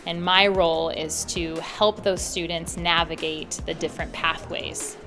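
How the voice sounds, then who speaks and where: A woman's voice: on the low side, fairly even intonation, measured pace. An American woman speaking as an education program coordinator in a promotional interview. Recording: music bed under speech. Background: background music.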